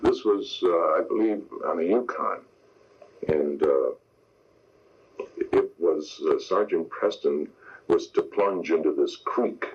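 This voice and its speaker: Deep, husky older man reminiscing. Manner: halting, plain-spoken. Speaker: veteran radio sound effects man being interviewed. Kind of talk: casual anecdote in an interview. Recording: slightly muffled radio tape.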